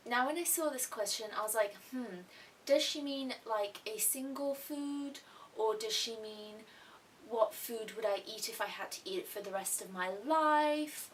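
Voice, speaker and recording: A young woman who grew up in Thailand, hosting a casual art-channel question and answer vlog in a chatty way, with asides and restarts. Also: fairly high pitch. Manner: chatty, repeats herself, little asides. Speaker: YouTube art channel host. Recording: faint hiss, mic a little distant.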